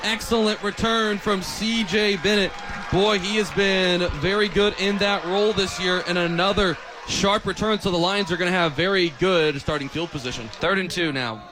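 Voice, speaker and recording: A man in his twenties calling live American football on radio as play-by-play announcer, with an animated, excitable delivery. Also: sits high, moderate pace, noisy background. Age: 20s. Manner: animated, builds from clipped calls to excited shouting. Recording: stadium crowd behind the commentary. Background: stadium crowd.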